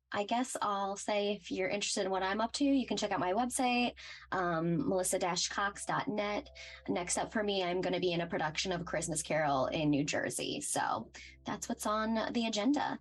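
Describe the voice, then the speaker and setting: A woman actor casually thanking listeners and plugging her work. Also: medium pitch.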